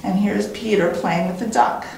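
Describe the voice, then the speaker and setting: A woman reading a children's story as a teacher, in an expressive, animated way with dramatic pauses and repetition. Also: mid-range voice. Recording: very echoey room.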